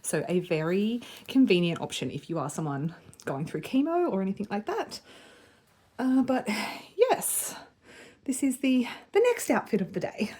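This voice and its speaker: A woman in her thirties talks casually to her camera, filling her sentences with "like" and soft little laughs. Her voice is a touch croaky from a cold, and her pitch sits in an ordinary middle range. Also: very animated, normal speed. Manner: chatty, lots of "like" and little laughs. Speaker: vlogger. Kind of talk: casual vlog chat.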